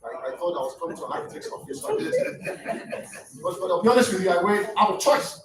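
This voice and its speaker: Fast, high-voiced Nigerian man, Yoruba native, giving a fervent lecture from far off the mic in an echoey hall. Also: wildly swooping pitch. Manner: impassioned, rising to near shouts, stammering restarts. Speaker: guest lecturer. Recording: echoey room, distant mic, faint noise.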